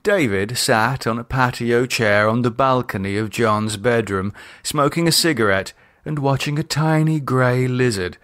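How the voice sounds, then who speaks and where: A male author narrates his horror story in a medium-pitched voice. The delivery is scripted, deliberate and dramatic, like an audiobook. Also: a bit bright.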